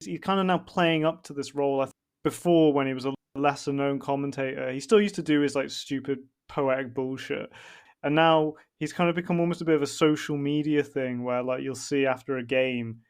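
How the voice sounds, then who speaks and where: A man's voice: medium pitch, steady tone, fairly quick, clear, resonant tone. A man hosting a podcast in casual chat, ranting comically in a sweary, exasperated way. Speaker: podcast host.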